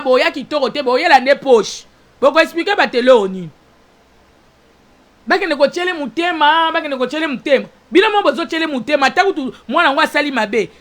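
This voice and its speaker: Congolese woman, talk host, high and forceful, emphatic repetitive monologue full of rhetorical questions. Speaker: online talk show host. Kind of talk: informal opinionated monologue.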